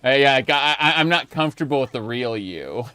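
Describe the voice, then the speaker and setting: A man's voice: mid-range voice, animated, a little fast, sharp tone, clear, resonant tone, dry recording. A man streaming a late-night gaming chat, rambling casually with lots of fillers and restarts. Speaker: streamer and video creator.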